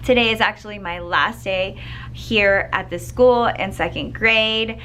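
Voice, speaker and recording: A second grade teacher with a slightly scratchy voice, chatting upbeat to camera in a school classroom, clearing her throat now and then. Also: medium pitch, measured pace, fairly bright tone. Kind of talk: casual vlog chat to camera.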